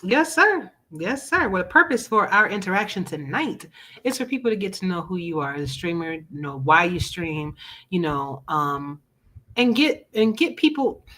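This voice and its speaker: A woman's voice: lowish voice, very animated, normal speed, bright, forceful tone. An American-accented woman hosting a gaming talk show, chatting informally and affirmingly with a guest. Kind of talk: casual interview chat.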